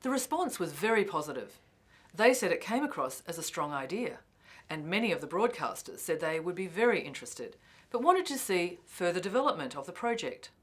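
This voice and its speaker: Low-voiced woman narrating from a script. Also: very animated, fairly quick, slightly rough. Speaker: filmmaker and documentary narrator.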